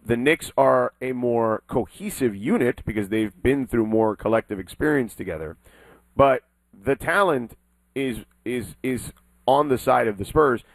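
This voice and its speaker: Sports talk guy, mid pitch, insistent, hammers his points, dry studio sound. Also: dry recording, poor audio. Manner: emphatic, insistent, stresses key words. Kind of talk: casual sports debate.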